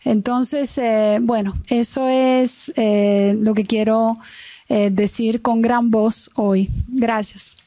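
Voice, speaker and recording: A woman's voice: a little high, steady tone, slow, warm tone. A young Italian woman speaking Spanish with a Cuban accent, giving an earnest forum speech at a desk microphone in a large meeting hall, with some hesitant restarts. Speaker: business representative speaking at a forum. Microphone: desk microphone on a stand.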